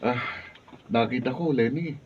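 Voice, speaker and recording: A man's voice: medium pitch, unhurried, slightly rough. A man acting an easygoing, sociable young neighbour in a Filipino radio drama, with an eager manner. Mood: curious, reassuring. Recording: distant, dull, faintly noisy studio recording.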